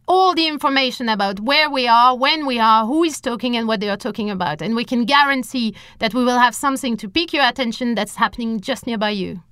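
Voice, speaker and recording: A woman speaks. Her voice is bright and fairly high, and she explains things emphatically, piling up lists. The sound is clean and dry. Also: fast talker, few pauses, smooth, dry recording. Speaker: interview guest, scientist and science outreach organiser. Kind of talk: enthusiastic interview explanation.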